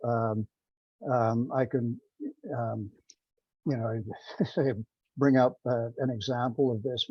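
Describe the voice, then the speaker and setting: A man giving an informal technical presentation on a teleconference, speaking in a halting, rambling way. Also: mid-range voice, dark tone, breathy edge. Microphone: remote teleconference call. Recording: dull, roomy conference-call audio.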